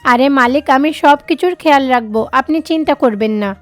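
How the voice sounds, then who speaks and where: A woman's voice: high voice, fairly quick, no echo, soft background music, natural voice. A woman voicing a kind, hardworking mother sparrow in a children's cartoon, speaking in an earnest, coaxing way. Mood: reassuring.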